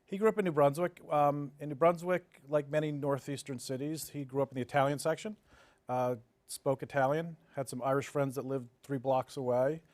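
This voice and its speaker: A man in his fifties with a mid-pitched voice, answering questions in an interview. He recounts things in a casual, matter-of-fact way. The sound is close and dry, with no room echo. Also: steady tone, a little fast, darkish tone. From New Jersey, United States. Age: 50s. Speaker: interview guest, development executive. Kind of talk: conversational interview storytelling.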